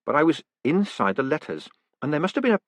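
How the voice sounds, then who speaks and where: A man voicing a panicked young male con man who talks in frantic, high-strung bursts. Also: sing-song, a little fast, a bit bright, dry recording. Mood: rattled.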